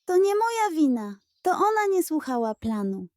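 A woman voicing a small, feisty little sister creature in a storybook, excitable and childlike. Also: extremely high pitch, moderate pace, no echo, clean studio sound, voice pushed up. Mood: indignant.

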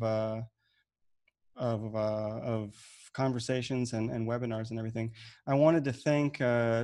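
A man in his thirties hosts an online panel over a video call, speaking at an even, middling pitch. He talks in a loose, relaxed way, often repeating a word before going on. The sound is plain webcam audio from a room at home. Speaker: webinar host and moderator. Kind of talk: casual online panel moderation. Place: home room with shelves of gems.

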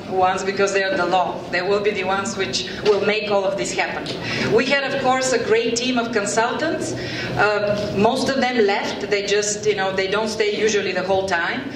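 A middle-aged woman from Bulgaria with a Bulgarian accent, presenting to a community meeting in a halting way with many filler pauses. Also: medium pitch, fairly even intonation. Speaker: urban planner presenting a workshop. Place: large event room with audience. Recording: echoey hall from far off, crowd murmur, background noise.

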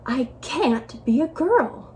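A woman's voice: high voice, lively intonation, slow pace, darkish tone, slight background noise. A woman reading aloud as an earnest, frustrated young girl in a picture book, plaintive and insistent.

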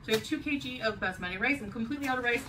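Woman vlogger, rambling and chatty, bright tone, distant camera mic in a home kitchen, faint room hum. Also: on the high side, racing. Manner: chatty, rambling, light laughs. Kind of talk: grocery haul vlog. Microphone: camera on the counter.

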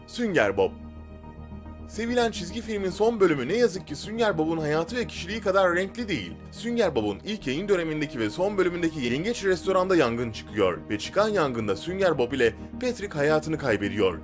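Male narrator, scripted countdown read, highly animated pitch, music underneath.

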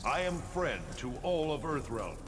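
A man playing a stern thunder god who protects his realm, speaking in a solemn, measured, formal way in fighting game dialogue. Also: on the high side, very animated. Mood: solemn, commanding. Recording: loud music and effects behind the voice. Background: game music and sound effects.

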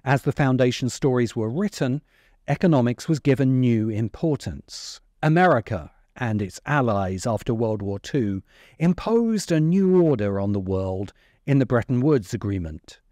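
A middle-aged man narrating a scripted video essay in a deliberate, emphatic way, close to the microphone. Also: medium pitch, animated, dark tone, no echo. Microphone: close desk microphone.

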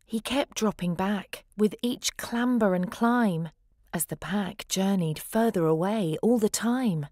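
A woman's voice: medium pitch, slow, darkish tone, dry recording, studio quality. A woman reading a rhyming children's story aloud, expressively and with dramatic emphasis. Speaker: storybook reader.

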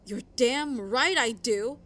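A woman's voice: a little high, slow, fairly bright tone. A woman playing a shaken, adamant sailor who survived a voyage, in a fantasy audio drama. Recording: faint tavern ambience behind.

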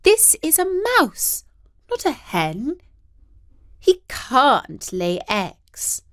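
A woman voicing a skinny, hungry house cat in a storybook reading, with a whining, drawn-out manner. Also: on the high side, big pitch swings, a bit bright, exaggerated voice. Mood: whiny.

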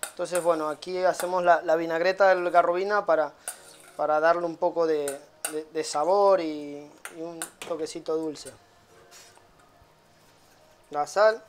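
A man in his thirties, a chef giving a casual cooking demonstration in a halting way with frequent tag questions. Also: fairly high pitch, fairly even intonation, a little hiss or hum. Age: 30s. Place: small tiled restaurant kitchen.

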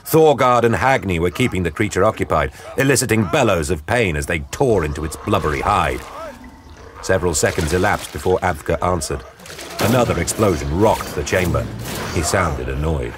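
Deep-voiced man narrating over music. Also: expressive, moderate pace. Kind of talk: dramatic scripted narration of an audio drama. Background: dramatic music.

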